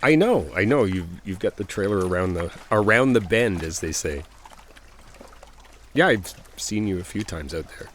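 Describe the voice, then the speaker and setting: Man acting as shy friendly boyfriend-roleplay guy, low voice, halting chatty delivery, watery outdoor noise behind. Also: expressive. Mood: relaxed, friendly. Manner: hesitant, apologetic, chuckling, conversational. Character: friendly, bashful male stranger at a lakeside trailer park. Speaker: audio roleplay performer. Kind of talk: boyfriend ASMR roleplay, casual chat.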